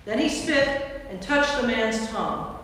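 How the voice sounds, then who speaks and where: A woman's voice: a little high, measured pace, a bit bright, slight background noise. A woman giving scripted narration for a staged scripture reading in a church. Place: inside a large church. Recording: distant, very echoey church hall.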